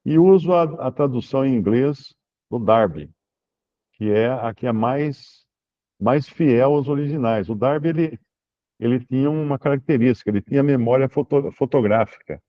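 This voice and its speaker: A man explains scripture in a relaxed, unhurried way and slows to read verses aloud. His voice sits at a middle pitch. The audio is dull and lo-fi, like an online call. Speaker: Bible teacher answering questions. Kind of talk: informal Bible study Q&A.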